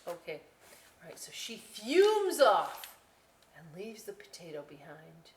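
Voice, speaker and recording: A woman hosting a children's storytime and craft session, chatty and expressive, speaking directly to young listeners. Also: medium pitch, unhurried, slight background noise. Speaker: library storytime host. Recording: echoey room.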